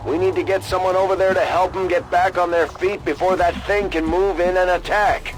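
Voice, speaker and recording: An actor playing a brisk, earnest military mission officer in a video game, giving urgent, businesslike briefings. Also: male voice, high-pitched, flat delivery, close to an everyday voice, rough recording. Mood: concerned. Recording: music under the voice.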